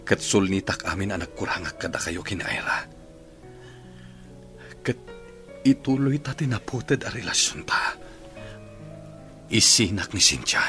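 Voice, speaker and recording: A man's voice: low voice, animated, measured pace, long pauses, bright, forceful tone, faint music behind, heavily acted. A man playing a remorseful, pleading husband. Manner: pleading, earnest. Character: remorseful husband begging forgiveness. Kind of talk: radio drama acting.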